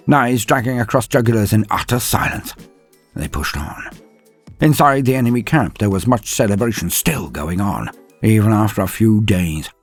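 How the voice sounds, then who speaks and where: Theatrical male storyteller, swooping and slightly husky. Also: mid-range voice. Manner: mock-grandiose, wry, comedic. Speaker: storyteller, channel narrator. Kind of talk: theatrical scripted story narration.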